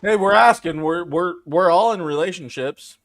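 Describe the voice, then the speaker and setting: A man's voice: high-pitched, moderate pace, fairly bright tone. A man co-hosting a talk show in casual, joking banter.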